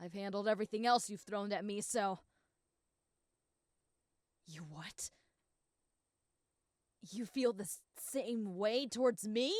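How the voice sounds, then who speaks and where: A woman playing a proud, competitive schoolgirl rival in a romantic roleplay, speaking in an earnest, blunt, halting and easily flustered way. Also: on the high side, dry recording, studio quality. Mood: flustered, disbelieving. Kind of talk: roleplay audio acting addressed to the listener.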